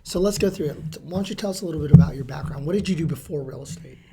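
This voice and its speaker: A man from California with a hoarse, raspy voice after a lot of talking, chatting casually as he interviews a guest in a real estate office. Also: on the high side, rapid-fire, heavy background noise. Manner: chatty, with frequent "uh" fillers.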